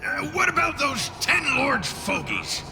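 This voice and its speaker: A man's voice: extremely high pitch, wildly swooping pitch, unhurried, gravelly, music in the background, heavily acted. A man playing a brash, sarcastic fiery tail spirit in a video game, with a theatrical, sneering, grumbling manner. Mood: exasperated, scheming.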